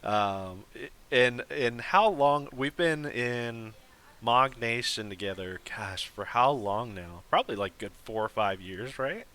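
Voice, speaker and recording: A man's voice: medium pitch, lively intonation, a little slow, sharp tone. A man hosting a live stream, chatting casually with a guest in a rambling way full of fillers and restarts. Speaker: host.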